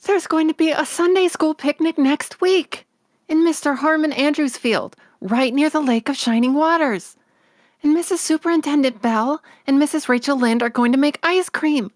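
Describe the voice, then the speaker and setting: A woman playing an excitable, dreamy orphan girl in a storybook read-along, breathless and gushing. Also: high-pitched, normal speed, dry recording, studio quality, close to an everyday voice. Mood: thrilled. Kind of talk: acted audiobook read-along.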